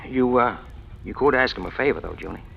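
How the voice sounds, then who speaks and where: A man's voice: medium pitch, brisk, creaky, a little hiss or hum. An actor playing a hard-boiled, scheming small-time crook who narrates his own rise in clipped, wisecracking slang, in old radio noir style. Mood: cool, calculating. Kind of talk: 1940s-50s radio noir acting. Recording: dull old radio recording, music behind.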